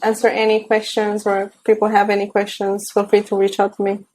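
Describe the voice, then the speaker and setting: A woman from Romania speaks with a Romanian accent as an interview guest on a video call. Her voice is warm and mellow, and she talks hesitantly, pausing on fillers and restarting her sentences. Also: medium pitch, completely flat delivery, slight background noise, low-quality recording. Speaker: guest, tech mentor.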